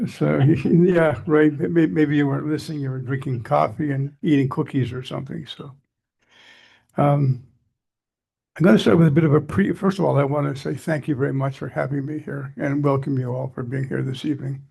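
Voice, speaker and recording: A man's voice: a little high, steady tone, fairly quick, vocal fry, warm tone, husky. An older Canadian man giving a chatty, digressive talk to a society meeting, his voice scratchy from allergies. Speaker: guest speaker.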